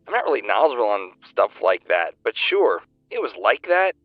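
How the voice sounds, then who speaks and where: An actor playing an earnest, talkative fan-fiction writer, heard over a phone call in an audio drama. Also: male voice, mid-range voice, sing-song, a little fast, dry recording. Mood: earnest, reflective. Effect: phone call filter. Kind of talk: naturalistic audio drama acting. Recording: thin, band-limited phone line.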